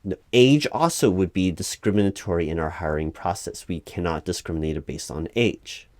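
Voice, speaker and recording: A man playing an earnest, easily flustered corporate recruiting manager in a one-sided ASMR roleplay, speaking aloud in a halting, officious, repetitive way. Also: deep voice, a little mellow, no room sound, lightly acted. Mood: patient, careful. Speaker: job interviewer.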